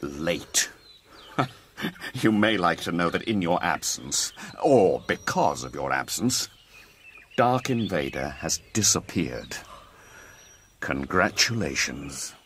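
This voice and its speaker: A man's voice: low voice, very animated, slow, long pauses, fairly bright tone, slight background noise, exaggerated voice. An actor playing a stern, coldly exasperated man in charge in a radio drama, dry, formal and sarcastic. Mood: cold sarcasm.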